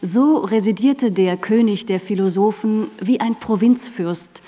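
A woman's voice: mid-range voice, a little slow, warm tone, in a large hall. A woman reads scripted narration for a radio drama in a composed, formal and precise manner.

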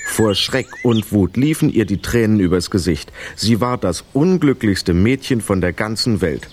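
A man narrating a scripted children's story in an expressive, vivid storytelling manner. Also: fairly low pitch, moderate pace, a little hiss or hum.

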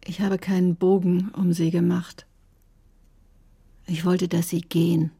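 An actress playing a bitter, sharp-tongued woman doctor in a radio drama, with a sardonic, controlled, defensive manner. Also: fairly low pitch, little pitch movement, a little slow, gravelly, breathy edge, exaggerated voice. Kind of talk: radio drama acting, confessional narration and dialogue.

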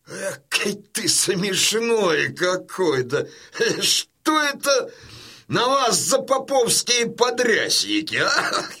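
A man's voice: very high-pitched, sharp tone, husky, exaggerated voice. An actor playing a boisterous old Cossack father in a radio play, teasing and combative with jovial exclamations.

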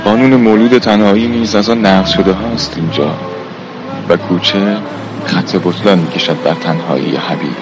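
A man narrating a radio drama in a poetic, literary style with measured, formal delivery. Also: low-pitched, steady tone. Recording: music bed under the voice.

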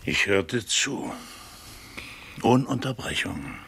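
Forceful, gravelly old prince. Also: male voice, on the low side, lively intonation, takes it slowly, slight background noise, full character voice. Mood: admiring. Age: older. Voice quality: gravelly, rough-edged. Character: aged princely field marshal, generous, insistent, devoted friend. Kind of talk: verse radio drama acting.

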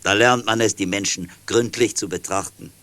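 A man playing a helpful, observant middle-aged neighbour in a children's audio drama, speaking in a measured, detailed way. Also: lowish voice, steady tone, a bit bright, no room sound, lightly acted. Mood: reassuring. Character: helpful, observant male neighbour, long-time railway clerk.

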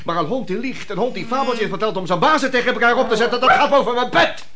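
A man's voice: very high-pitched, lively intonation, fast talker, a crowd in the background. An actor playing a suspicious, exasperated husband and father in a radio play, terse and indignant. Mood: angry, suspicious.

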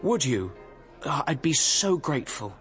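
Earnest young composer, lively pitch. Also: male voice, a little slow, faint music behind. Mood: fervent. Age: young adult. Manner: self-deprecating, fervent. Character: earnest, dreamy, impetuous young would-be composer. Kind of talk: radio drama acting.

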